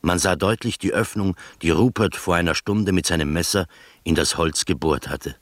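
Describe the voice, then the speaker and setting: An actor playing an observant first-person storyteller, narrating a literary radio drama in measured fashion. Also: male voice, sits low, no room sound, clean studio sound.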